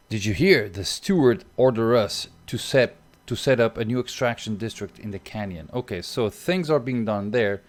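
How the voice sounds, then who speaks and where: A young Portuguese man with a Portuguese accent, thinking aloud through a strategy game on stream and chatting with viewers. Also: mid-range voice. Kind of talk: live gameplay commentary.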